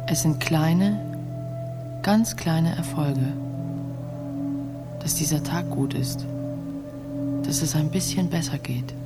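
Slow, low-voiced woman carer. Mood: reflective, compassionate. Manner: measured, pausing, quietly earnest. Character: compassionate, modest woman carer at a clinic. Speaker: actress in a radio play. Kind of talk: radio drama monologue, reflective. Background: loud ringing music.

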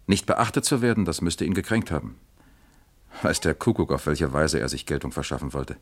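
An actor playing a probing, dry-witted East German police captain in a radio crime drama, musing and questioning deliberately. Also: male voice, a bass voice, animated, heavily acted.

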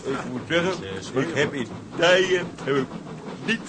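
An actor playing an easygoing merchant sailor in a period drama. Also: male voice, fairly high pitch, normal speed, lightly acted. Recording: noisy drama soundtrack with ambience.

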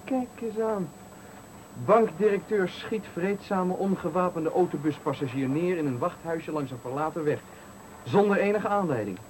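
An actor in a Dutch radio play playing a taunting, menacing stranger who speaks with deliberate, needling politeness in a Netherlands accent. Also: male voice, high-pitched, a little mellow, close to an everyday voice. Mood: coldly accusing. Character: menacing, taunting male stranger cornering a man at night. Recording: faintly noisy 1980 radio recording.

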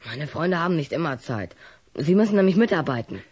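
A man's voice: on the high side, sing-song, fairly quick, a little mellow, slightly rough, dull audio. A young male actor playing a wary, cheeky schoolboy in an audio drama, guarded and defiant. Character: wary, cheeky schoolboy who loves scary books.

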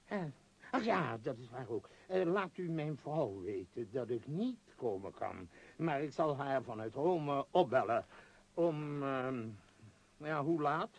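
A man's voice: mid-range voice, animated, slow pace, breathy edge, heavily acted. A man acting an imperious, absent-minded billionaire tycoon in a radio play, rambling and hesitant in a self-important way. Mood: bossy, distracted. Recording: muffled, dull radio play recording.

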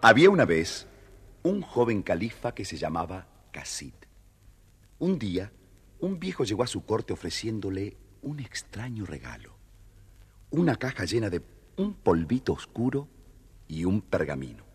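A man's voice: lowish voice, wildly swooping pitch, normal speed, breathy edge. A man narrating a scripted children's tale expressively, as the storyteller of a record.

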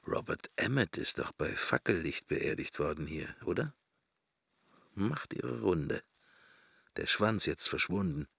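Gravelly, low musing man. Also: animated, a little slow, fairly bright tone, no room sound, clean studio sound, full character voice. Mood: morbid, brooding. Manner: musing in short, broken fragments. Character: pensive middle-aged man musing inwardly, wry and morbid. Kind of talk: German radio drama, interior monologue.

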